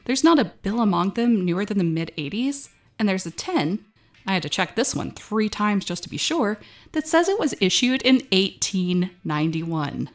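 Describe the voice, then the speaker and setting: A woman's voice: mid-range voice, big pitch swings, normal speed, lightly acted. A woman playing an uneasy, wry young female cashier, narrating an audio drama in a wary, conversational first person.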